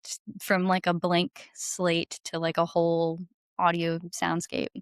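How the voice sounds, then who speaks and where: Low-pitched woman, enthusiastic casual chat. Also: monotone, slow, clean tone, no room sound, clean studio sound. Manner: enthusiastic, effusive. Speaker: podcast guest, singer-songwriter and audio drama creator.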